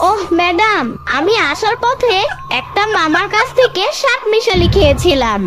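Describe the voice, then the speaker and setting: A performer voicing a cheeky young schoolboy in a cartoon, pert and quick to talk back. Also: very high-pitched, lively intonation, very noisy. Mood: whiny, urgent. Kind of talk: cartoon dialogue.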